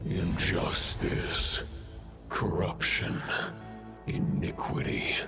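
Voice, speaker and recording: A man playing an ancient, wrathful spirit in a video game, gravelly, speaking in slow, solemn, archaic pronouncements. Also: over music. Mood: wrathful, contemptuous. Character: ancient wrathful male spirit, grim and judging.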